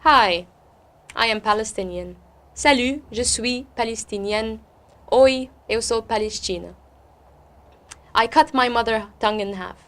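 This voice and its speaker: Higher-voiced woman, expressive and emphatic. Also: unhurried, pauses often. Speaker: interview guest and poet. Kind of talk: interview talk and spoken-word poetry recital.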